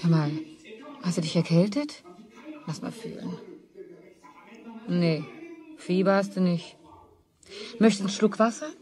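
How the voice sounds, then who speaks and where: A woman's voice: fairly low pitch, a little slow, long pauses, mellow, close to an everyday voice. A woman playing a boy's caring, somewhat distracted mother in a children's radio play. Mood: concerned.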